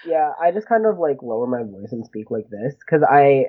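A young man with a high voice, talking in a chatty, rambling way with lots of "like" and small laughs. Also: very animated, moderate pace. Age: young adult. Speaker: guest, graduate student. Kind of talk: casual conversation. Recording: tinny phone-like husky sound.

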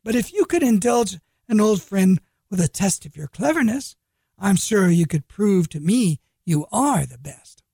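A man voicing a sly, flattering male street trader in a storybook reading. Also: high-pitched, measured pace, gravelly, husky, no room sound, clean studio sound, pitched above the performer's own voice, heavily acted. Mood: coaxing. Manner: wheedling, flattering.

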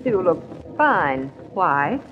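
An actress playing a middle-aged mother in an old-time radio drama, dry, brisk and matter-of-fact. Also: lowish voice, big pitch swings, faint music behind, full character voice. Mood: dry, patient. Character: wry, matter-of-fact mother of a grown daughter. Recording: noisy, dull old radio recording.

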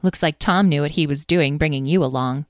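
A woman voicing an eager, excitable young dragon in a storybook reading. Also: deep voice, a little fast, dry recording, clean studio sound, natural voice. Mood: cheerful. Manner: bubbly, shouting with joy.

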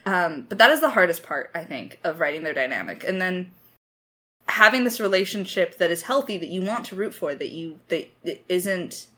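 A woman with a slightly low voice talks through her writing in a casual Q&A. She thinks aloud, hesitating and gently putting herself down as she goes. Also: lively intonation, normal speed. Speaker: lead writer of an audio drama podcast. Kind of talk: casual creator Q&A discussion.

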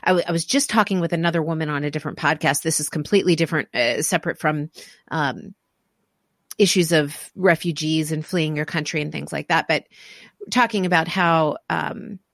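A woman hosts a podcast interview, her voice sitting fairly low, and she reflects back on what her guest says with warm affirmation. She often restarts her sentences and fills pauses. The sound is dry and close, with almost no room echo. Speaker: podcast host. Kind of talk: conversational interview.

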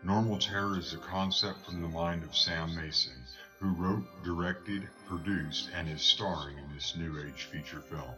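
A man's voice: low-pitched, normal speed, few pauses, pushed and bright, rough recording. A man narrating a horror film trailer in an ominous announcer voice over a music bed. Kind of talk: dramatic movie trailer voice-over.

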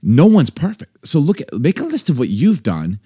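A man co-hosting a casual faith podcast, animated and jokey, often laughing. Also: a little high, moderate pace, breathy edge. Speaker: podcast co-host.

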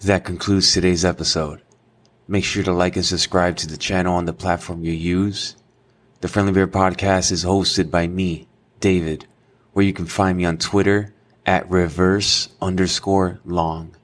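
A man who hosts a podcast, reading its scripted closing lines in an even manner. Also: low-pitched, moderate pace. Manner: even, read-from-script delivery. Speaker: podcast host. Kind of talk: scripted outro read.

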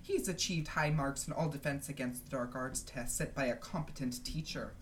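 A woman's voice: a bass voice, moderate pace, a little hiss or hum. A woman voicing a stern, curt older schoolmistress with a clipped, exasperated, formal manner, as in a storybook. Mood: firm.

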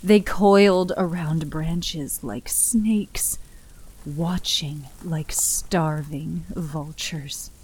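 A woman giving a dramatic scripted narration of a horror story in a grave, breathy manner, often dropping to a near whisper. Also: deep voice, extremely slow, darkish tone.

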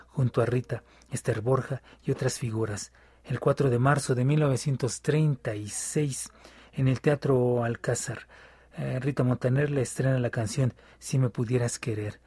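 A man hosting a radio music program, reading aloud from a book in a measured way with filler pauses. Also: mid-range voice, fairly even intonation, mellow, husky, no echo. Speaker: radio host.

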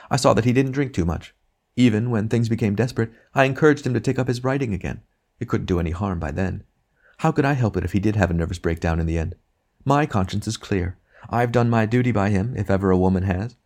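A man's voice: fairly low pitch, darkish tone, voice pushed up. A man voicing a bossy complaining wife's ghost. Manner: complaining, bossy, self-righteous. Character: self-pitying, domineering ghost of an older wife. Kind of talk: audiobook character reading.

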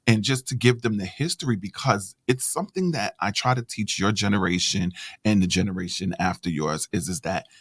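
A man hosting a casual interview, chatty and encouraging, often affirming his guest with quick backchannels. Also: lowish voice, slightly rough, dry recording. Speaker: host.

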